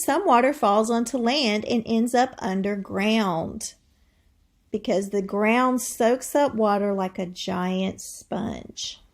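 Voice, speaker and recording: A woman with a fairly high voice and noticeable creak reads aloud like a teacher. She takes her time, explaining things to the listener in an instructive way. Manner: instructive, asks the listener questions. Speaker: teacher reading a science book aloud. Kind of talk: educational read-aloud with explanatory asides.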